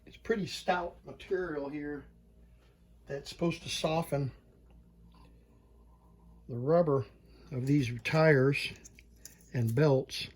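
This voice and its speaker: A man's voice: fairly high pitch, steady tone, husky. A man walking through a hands-on repair, talking plainly and thinking aloud as he works. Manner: slow, plain-spoken, thinking aloud while working. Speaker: repair technician.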